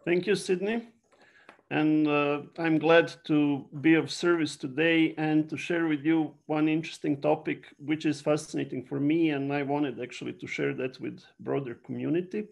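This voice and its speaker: A middle-aged man from Serbia with a Serbian accent, a native Serbian speaker, giving an explanatory online lecture with frequent halting pauses. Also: on the high side, steady tone, gravelly. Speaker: university biology lecturer. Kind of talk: online lecture to a general audience.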